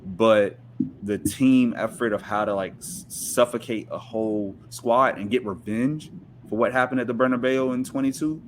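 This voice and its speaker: A man's voice: medium pitch, moderate pace, slight background noise. A man talking casually as a sports fan and podcast guest.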